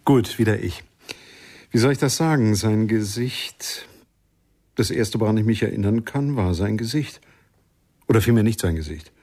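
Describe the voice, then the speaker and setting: A man's voice: lowish voice, normal speed, pauses often, dark tone, close to an everyday voice. An actor playing a thoughtful jazz witness in a radio play, reflective and often correcting himself as he searches for words.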